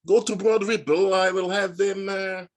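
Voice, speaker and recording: A man voicing a brash young foreign partygoer who speaks halting broken English in a thick put-on accent. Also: sits high, steady tone, voice pushed up, lightly acted. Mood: boastful.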